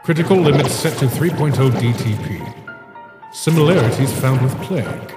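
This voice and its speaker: A man voicing an eerie, disdainful phantom scientist in an animated comic dub, speaking in a deliberate, clinical way. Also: mid-range voice, close to an everyday voice. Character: eerie, dry-witted male phantom scientist companion. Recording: echoing reverb effect, music behind.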